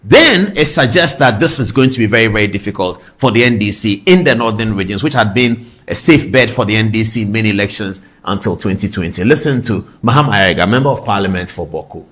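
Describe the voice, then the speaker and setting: A man's voice: fairly low pitch, lively intonation, quick pace, hardly any pauses, fairly bright tone. A middle-aged man with a Ghanaian accent hosting a political talk show, telling things conversationally with emphatic repetition and rhetorical questions. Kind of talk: conversational political commentary to viewers.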